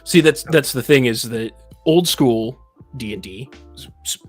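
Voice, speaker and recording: A man's voice: mid-range voice, measured pace, faint music behind. A man in a casual discussion, explaining things in an analytical, precise and somewhat argumentative way. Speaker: panelist in a tabletop gaming discussion.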